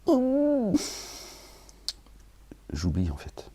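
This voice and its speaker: A middle-aged man from France giving a rambling, aggrieved talk, then reading scripture aloud, often dropping to a low murmur. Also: huge pitch swings, drawn-out words, mellow, highs cut off, slight background noise. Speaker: religious preacher.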